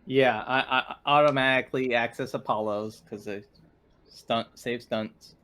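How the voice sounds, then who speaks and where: A man of middling pitch chats as a player in a tabletop roleplaying game. His delivery is casual and very slow. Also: fairly even intonation, fairly bright tone.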